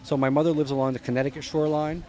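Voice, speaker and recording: A man's voice: on the high side, level tone, racing, dark tone, a little hiss or hum. A middle-aged man from New Jersey, chatting as a podcast guest, telling long stories, dropping names and trailing off with fillers. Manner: rambling storyteller, drops names, trails off with "uh". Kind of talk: casual chat.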